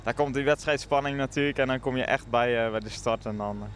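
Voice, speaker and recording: A man's voice: medium pitch, steady tone, quick pace. A young man answering as an athlete in a post-race interview into a handheld mic outdoors. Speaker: professional cyclist interviewee. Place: outdoors by team truck in street. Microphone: handheld reporter mic. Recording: outdoor street ambience with vehicle noise.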